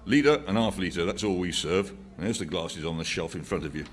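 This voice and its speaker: A man's voice: sits low, normal speed, close to an everyday voice. A man voicing a stout young barman in a story reading, curt and mocking. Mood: curt, scornful. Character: scornful, stout young English pub barman.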